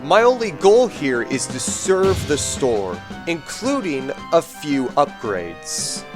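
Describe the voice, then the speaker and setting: Male actor for a cartoon schemer: slow, measured, smugly polite. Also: a little high, very animated, over music. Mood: smug formal. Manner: overly formal, smug, measured. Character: scheming, smug adult male secret agent posing as barista. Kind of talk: fan comic dub voice acting.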